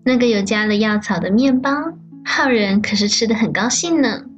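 A woman's voice: medium pitch, moderate pace, highs cut off, close to an everyday voice. A woman playing a sly, menacing mistress of a house in an audio drama, mocking and commanding in a mock-sweet way. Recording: background music under the voice.

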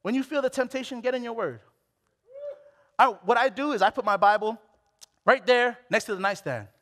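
A man in his late twenties from Hawaii, preaching a sermon on a church stage with a headset mic, animated and full of restarts. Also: extremely high pitch, normal speed. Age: late 20s. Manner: animated, exclamatory, with many restarts. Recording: long hall reverb.

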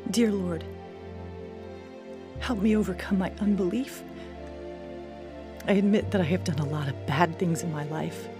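A woman's voice: lowish voice, unhurried, long pauses, close to an everyday voice. An actress playing a troubled young woman who earnestly tells her own story in an audio drama. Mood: pleading. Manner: earnest, confessional. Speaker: narrator and lead actor in audio drama. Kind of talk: first-person dramatized testimony narration. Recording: music bed under studio voice. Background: music.

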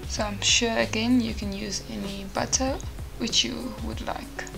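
A woman's voice: fairly high pitch, fairly even intonation, measured pace, gravelly. A woman in her twenties chatting casually to viewers in an informal vlogging style. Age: 20s. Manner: informal, addressing viewers directly. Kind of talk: casual vlog chat and recipe voice-over. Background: music.

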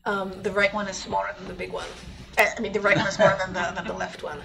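A woman's voice: mid-range voice, little pitch movement, quick pace, creaky. A woman in a lecture audience answering a question, hesitant and giggly. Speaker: audience member. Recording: off-mic voice with noise.

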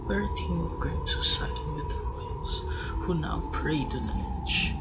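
A bitter, menacing manga villain acted out by a man delivers slow monologues in a breathy whisper. The amateur recording is thick with noise. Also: on the high side, lightly acted. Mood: bitter, sinister. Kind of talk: amateur manga voice-over drama. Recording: very noisy amateur home recording.

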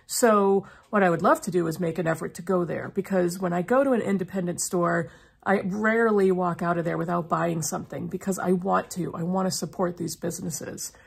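A woman's voice: on the low side, steady tone, a little fast, creaky, husky. A middle-aged woman chatting casually to camera as a book vlogger, her voice slightly froggy from a lingering cough. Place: small indoor room lined with bookshelves.

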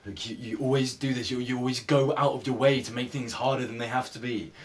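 A man's voice: mid-range voice, steady tone, close to an everyday voice. An actor playing a troubled, hesitant young man going through a breakup in a naturalistic film. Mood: exasperated. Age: 20s. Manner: halting, hesitant. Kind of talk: naturalistic film acting, halting dialogue. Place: student bedroom with bay window, indoors. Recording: distant location sound, faint room noise.

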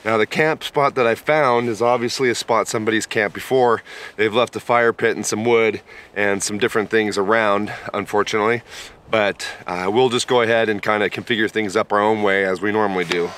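A man in his thirties vlogging at an outdoor campsite, talking in a slow, worn-out, easygoing way after being sick. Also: lowish voice, steady tone, fairly bright tone. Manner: laid-back, tired, unhurried with many fillers. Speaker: camping vlogger. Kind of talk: casual camp vlog talk to camera. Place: outdoor pine forest campsite.

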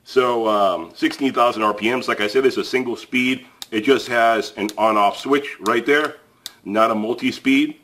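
A man's voice: mid-range voice, normal speed, a bit bright. Chatty man reviewing tools. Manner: chatty and plain-spoken, frequent fillers and restarts. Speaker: tool review channel host. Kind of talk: casual product review to camera. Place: indoors at a table, filmed from above.

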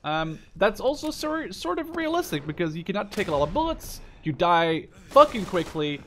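A young man in his twenties talks in a slightly high voice as he plays a game. His commentary is casual and rambling, emphatic and opinionated, with profanity thrown in. Faint game gunfire and clicks sit behind him. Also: sing-song, moderate pace, fairly bright tone, a little hiss or hum. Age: 20s. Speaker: gaming video host. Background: video game gunfire, clicks.

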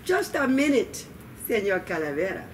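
A spry old storybook grandmother, played by a woman reading aloud, cheerfully polite and full of delay tactics. Her speech is drawn out, sing-song and swooping, heard from a little way off over clear background noise. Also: mid-range voice, big pitch swings, normal speed, distant mic, lightly acted. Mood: cheerful stalling.

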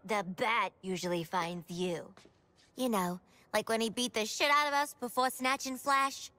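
A woman's voice: fairly high pitch, unhurried, pushed and bright. A woman playing a brash, wisecracking game villain in a sardonic, coarse manner. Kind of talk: video game cutscene acting.

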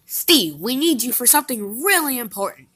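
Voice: mid-range voice, big pitch swings, normal speed, bright, forceful tone, slightly rough, heavily acted. An amateur voice actor playing a matter-of-fact cartoon ink demon in a homemade animation. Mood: businesslike.

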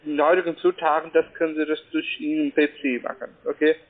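A rather high-voiced man calls over a thin, tinny phone line to sell something. He is a non-native German speaker, pitching haltingly and checking that he is followed. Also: little pitch movement. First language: not German. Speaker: cold-calling telephone salesman.

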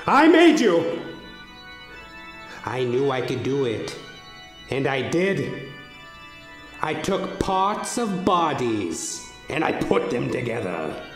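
A man voicing a deranged mad scientist who gloats obsessively and haltingly over his monster in a horror audio drama. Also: medium pitch, fairly bright tone, echoey room, exaggerated voice.

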